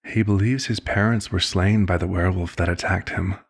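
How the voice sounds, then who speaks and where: A man playing a courteous elder vampire doctor in an ASMR roleplay, speaking formally and with measured, concerned authority, in a gravelly, husky voice. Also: sits low, steady tone. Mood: measured, clinical concern. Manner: formal, measured, polite wording. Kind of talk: ASMR roleplay, scripted one-sided dialogue.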